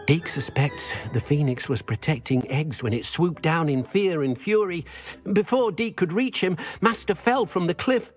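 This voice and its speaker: A man's voice: fairly high pitch, expressive, moderate pace, soft background music, lightly acted. An actor voicing a meek, guilt-ridden male house-elf in a video game, who speaks of himself in the third person. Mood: remorseful.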